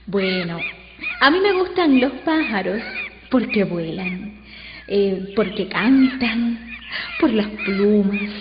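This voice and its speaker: A woman's voice: medium pitch, expressive, slow. An actress playing a chatty, eager townswoman in a radio comedy. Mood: matter-of-fact. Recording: echoey, muffled hall playback with noise.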